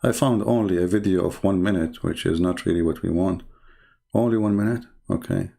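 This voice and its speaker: A man's voice: deep voice, sing-song, fairly quick, gravelly, dark tone. A man whose first language is Arabic, hosting a live stream and mocking the clips he plays with sarcastic questions. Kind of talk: casual commentary on played clips.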